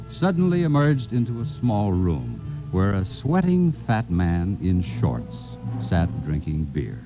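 Casting: theatrical storyteller, wry, lively intonation, muffled 1950s radio sound. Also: male voice, on the low side. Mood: playful, suspenseful. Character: dramatic, wry male science fiction narrator. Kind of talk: scripted 1950s radio drama narration. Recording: dull vintage radio broadcast recording. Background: music.

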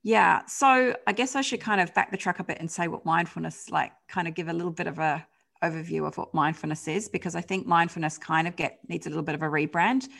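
A middle-aged woman with a British accent speaks as an interview guest. Her voice sits low, with a gravelly, creaky edge. She talks in a relaxed, chatty way, full of ums and mid-sentence corrections. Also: fast talker. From United Kingdom. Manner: conversational, lots of fillers and self-corrections. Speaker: guest, change management consultant. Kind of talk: podcast interview.

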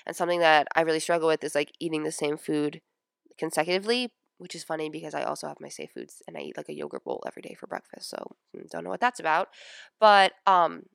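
Young woman, twenties, podcast host, stuffed-up nose, gravelly fry, casual rambling delivery. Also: deep voice, fairly even intonation, quick pace, no echo, studio quality. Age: 20s. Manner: chatty, lots of "like" and "um". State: stuffy nose from a sinus infection. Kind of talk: casual personal podcast chat.

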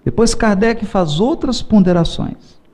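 Animated man giving a religious lecture. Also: high voice, measured pace. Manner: animated, humorous, repeats words for emphasis. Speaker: Spiritist lecturer.

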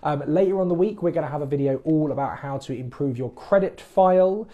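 A man's voice: on the high side, a little fast, phone-quality sound. A man hosting a casual podcast, answering listeners' mortgage questions in a chatty, plain-spoken way. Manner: chatty, explains things plainly. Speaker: mortgage broker and podcast host. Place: based in the Midlands.

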